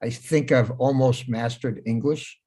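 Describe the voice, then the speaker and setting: A man's voice: mid-range voice, steady tone, measured pace, warm tone, slightly rough. A man in his seventies whose first language is Hebrew, talking casually over a video call, plain-spoken and wry, often repeating his words. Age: 70s. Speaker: speaker at a talk. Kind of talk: casual talk.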